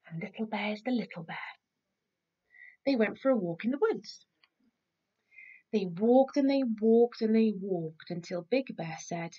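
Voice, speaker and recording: A woman reading a children's story aloud in an expressive, deliberate way, with dramatic pauses. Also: mid-range voice, highs cut off.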